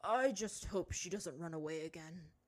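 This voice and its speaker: A woman voicing a weary, conciliatory older male chief in a story reading, measured and formal. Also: on the low side, a little mellow, natural voice.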